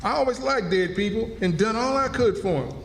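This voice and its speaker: A man's voice: high-pitched, expressive, brisk, slight background noise. A man playing a superstitious, fearful runaway in a stage radio drama, with a folksy dialect. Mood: frightened pleading. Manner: folksy dialect, pleading and repeating himself. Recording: echoey hall, live stage performance.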